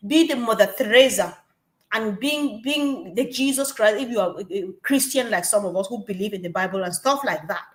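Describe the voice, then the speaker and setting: A woman from Africa, speaking at a gathering in an animated way, repeating herself and laughing between points. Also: on the high side. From West Africa. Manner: animated, repetitive, laughing asides. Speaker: guest speaker. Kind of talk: passionate testimony at a gathering. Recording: echoey room.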